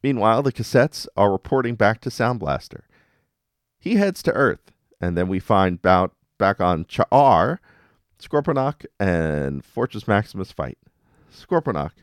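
A male podcast host recaps episodes casually in a fairly low voice. His delivery is wry and very animated, with playful dramatic emphasis, recorded dry with no room sound. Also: unhurried, no echo.

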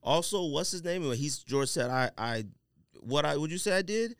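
A man hosting a podcast in casual banter, talking in an animated, slangy, emphatic way. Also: a little high, moderate pace, clean tone, no echo, studio quality. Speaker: podcast host.

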